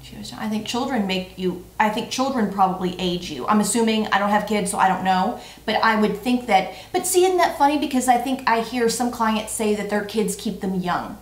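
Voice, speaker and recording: A woman's voice: mid-range voice, lively intonation, moderate pace, hardly any pauses. A woman in her forties chatting casually as a vlog co-host, playful and emphatic, often repeating her words. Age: 40s. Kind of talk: casual chat, answering tag questions. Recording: roomy, echoey sound.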